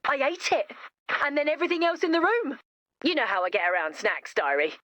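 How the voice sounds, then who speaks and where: Woman putting on a sweet lonely monster reading her diary, high voice, earnest game drama. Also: animated, fairly quick, fairly bright tone, dry recording. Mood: sorrowful, confiding. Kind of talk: read-aloud diary in a video game.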